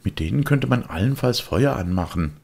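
A man's voice: lowish voice, expressive, normal speed, creaky, a little mellow, slightly rough, pitched above the performer's own voice, heavily acted. A man voicing a shrewd, mocking street boy who teases scornfully in a children's storybook. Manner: teasing, scornful.

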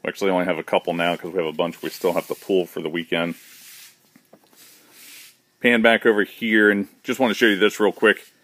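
A man with a low, deep voice walks through a large indoor warehouse, talking in a relaxed, matter-of-fact way with plenty of "uh"s and restarts. He films on a handheld phone, and the sound is close and dry. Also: fairly quick, pauses often, no echo. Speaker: shop owner giving a warehouse tour. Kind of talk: casual walkthrough narration. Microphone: handheld phone camera, speaker behind it.

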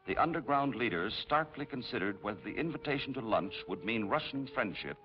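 Expressive 1950s narrator, a man. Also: mid-range voice. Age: middle-aged. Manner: measured, dramatic, formal. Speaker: presenter and narrator. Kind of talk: 1950s television scripted narration. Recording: dull vintage 1950s film soundtrack, faint hiss.

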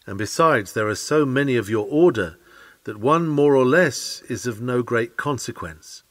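Man reading a sermon, very animated intonation. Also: mid-range voice. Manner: earnest, oratorical, with deliberate phrasing. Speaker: sermon reader. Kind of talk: reading a Victorian sermon aloud.

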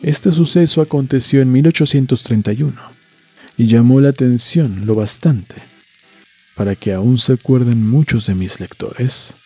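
A man reading a scripted horror story in a measured, literary storytelling manner. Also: medium pitch, dark tone, husky. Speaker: narrator. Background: music.

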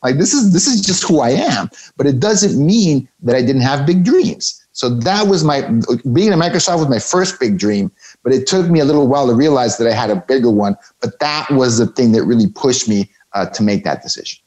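Lively candid male interview guest. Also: mid-range voice, a little fast, rough recording. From Mexico. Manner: candid, self-deprecating. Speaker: podcast guest. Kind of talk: interview conversation.

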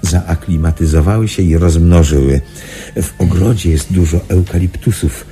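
Guy acting a calm doctor in a radio play, low mellow voice, explains patiently. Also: faint music behind, lightly acted. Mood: reassuring. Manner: measured, explanatory. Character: calm, reassuring male sanatorium doctor. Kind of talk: Polish radio drama acting.

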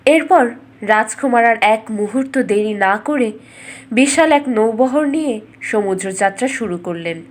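Higher-voiced woman storyteller, dramatic delivery. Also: animated, unhurried. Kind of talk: scripted fairy tale narration.

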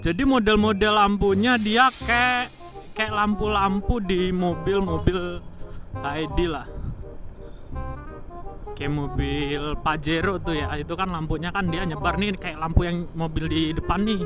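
A man's voice: high voice, fairly even intonation, measured pace. A man, chatting informally as a motovlogger reviewing while he rides and repeating himself. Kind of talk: casual vlog review while riding. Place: outdoors on a moving motorcycle at night. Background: loud music, vehicle engine.

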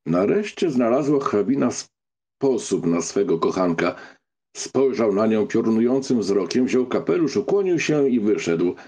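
A man narrating a literary audiobook from a script, with a gravelly, husky, mellow voice. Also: fairly low pitch, very animated, a little slow.

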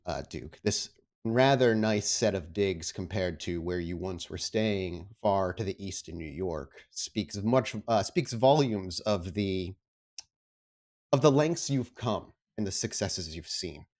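Low-voiced man narrating a horror tabletop game. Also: darkish tone. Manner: dramatic, deliberate, measured phrasing. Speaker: storyteller, game master.

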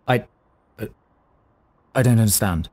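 An actor playing an anxious, indignant, paranoid businessman in an audio drama, halting and often interrupting himself. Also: lowish voice, darkish tone. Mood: puzzled. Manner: halting, muttering, self-interrupting. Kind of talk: audio drama monologue and phone dialogue.

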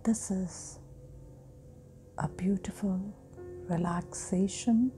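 Very slow, soft-spoken woman guiding meditation. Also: medium pitch, fairly even intonation. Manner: soft, breathy, often near whispering, with long pauses. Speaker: guided meditation host. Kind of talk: slow guided sleep hypnosis meditation. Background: meditation music.

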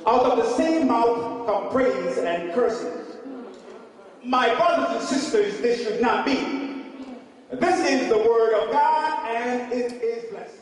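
An actor playing a solemn middle-aged preacher, reading scripture in a measured, declamatory way. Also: male voice, very high voice, expressive, heavily acted. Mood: solemn preaching. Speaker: preacher in a stage play. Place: theater stage at a lectern. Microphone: handheld microphone. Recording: echoey hall, distant PA sound.

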